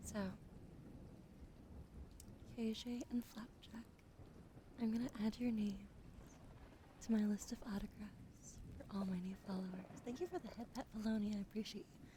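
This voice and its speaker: A woman's voice: a little high, fairly even intonation, moderate pace, long pauses. A young woman whispering as an ASMR streamer, apologetic, troubled by coughing from allergies, thanking followers by name. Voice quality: soft whispering. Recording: noisy background.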